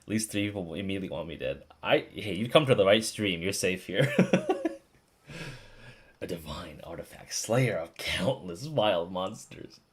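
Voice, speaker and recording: Animated, sweary male game streamer. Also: sits low, normal speed, breathy edge. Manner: sweary, excitable, joking. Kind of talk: casual reaction commentary over gameplay.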